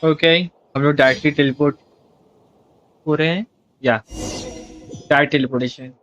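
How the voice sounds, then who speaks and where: An Indian-accented man commenting casually on a game as he streams. He talks quickly, and the mic sounds a little dull, with faint music behind him. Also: on the high side, steady tone, a bit bright. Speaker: live streamer. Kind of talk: casual gameplay commentary, Hindi-English mix.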